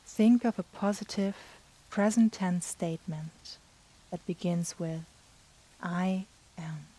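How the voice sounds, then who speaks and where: Woman, meditation guide, very slow pace, dark mellow tone, soft and breathy, long pauses. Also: fairly low pitch, poor audio. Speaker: yoga nidra guide.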